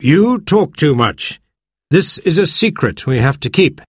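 A man's voice: on the high side, sing-song, measured pace, breathy edge, no room sound, clean studio sound, voice pushed up, heavily acted. A man voicing a careful, serious older doctor who speaks earnestly. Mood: stern.

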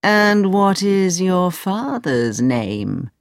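A woman voicing a sly old male wizard posing as a kindly uncle in a storybook, with a theatrical, drawn-out delivery. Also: on the low side, big pitch swings, dry recording, studio quality, exaggerated voice. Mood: kindly, probing.

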